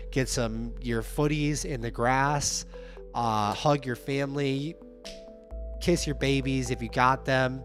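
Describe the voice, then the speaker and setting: A man with a hoarse, fading voice chatting casually on a livestream, upbeat and talking straight to his viewers. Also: mid-range voice. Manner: upbeat, hype-driven, addresses viewers directly. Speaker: livestreaming app developer.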